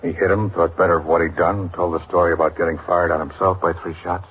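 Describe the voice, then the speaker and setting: An actor playing a skeptical police detective lieutenant in an old radio drama, reporting in a clipped, matter-of-fact way. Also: male voice, deep voice, little pitch movement, quick pace, a bit bright, breathy edge. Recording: noisy vintage radio drama recording.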